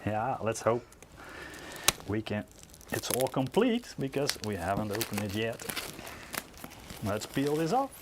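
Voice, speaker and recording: A man's voice: lowish voice, big pitch swings, unhurried, pauses often, background noise. A man in his forties co-hosting a livestream, mostly giving short agreeing replies. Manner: brief agreeing replies, chuckles often. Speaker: co-host. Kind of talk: casual livestream chat.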